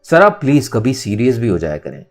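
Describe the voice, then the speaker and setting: A man voicing a defiant, sharp-tongued young woman in a storybook, with taunting emphasis. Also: mid-range voice, very animated, fairly quick. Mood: earnest, exasperated. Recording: music under the voice.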